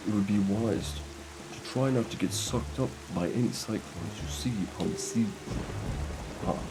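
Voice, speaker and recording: Man playing a low, solemn guardian of the sea. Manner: measured, solemn, formal. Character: grave, wise guardian boat advising a young hero. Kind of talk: video game character voicing.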